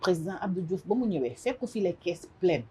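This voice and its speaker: A middle-aged Senegalese woman with a Senegalese accent and a low, dark, mellow voice, with faint noise behind it. She answers interview questions in a measured, thoughtful way and often pauses to hesitate. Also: big pitch swings. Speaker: interview guest. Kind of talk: casual interview talk mixing French and Wolof.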